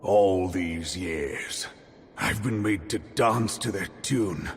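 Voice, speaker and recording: Gravelly, vengeful warrior general. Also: male voice, deep voice, takes it slowly, slight background noise. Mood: bitter, anguished. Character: grim, vengeful warrior general betrayed by a comrade. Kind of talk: dramatic game voice acting. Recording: long hall reverb.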